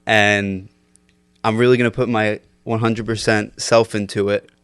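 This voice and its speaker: A man's voice: on the low side, level tone, measured pace, fairly bright tone, clean tone, no room sound, clean studio sound. A young man in his twenties telling stories on a casual podcast, loose and laughing with lots of fillers. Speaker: podcast guest. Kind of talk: casual storytelling chat.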